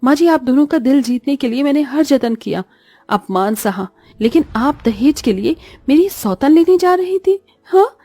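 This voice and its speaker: A woman's voice: sits high, expressive, moderate pace, mellow, no room sound, faint music behind, pitched above the performer's own voice. A woman voicing a meek, wronged young bride in a cartoon story, pleading and plaintive. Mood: wounded, firm. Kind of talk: Hindi cartoon story voice acting.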